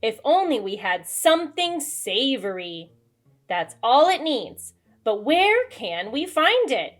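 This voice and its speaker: A haughty storybook witch, as a woman reader puts her on. The voice is high and very lively, with theatrical exclamations and stretched words. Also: heavily acted. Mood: savoring, musing. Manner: drawn-out, exclaiming, dramatic. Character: haughty female witch, theatrical, pleased with her cauldron brew. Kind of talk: children's storybook character reading.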